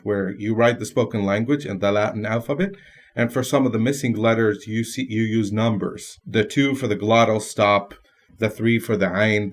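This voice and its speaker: A man from Lebanon whose first language is Lebanese Arabic, speaking as a podcast guest in a thoughtful way with pauses and restarts. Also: lowish voice. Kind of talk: casual conversation.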